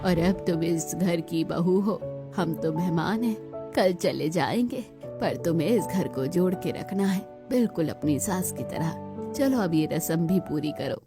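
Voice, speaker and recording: A woman voicing a kindly, traditional older female in-law in a cartoon story, measured and instructive. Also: fairly low pitch, lively intonation, over music. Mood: welcoming, solemn.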